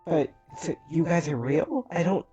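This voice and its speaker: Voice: medium pitch, unhurried, warm tone, husky, highs cut off, faint music behind. A player roleplaying a confused character, stammering and hesitant. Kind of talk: roleplaying a tabletop game.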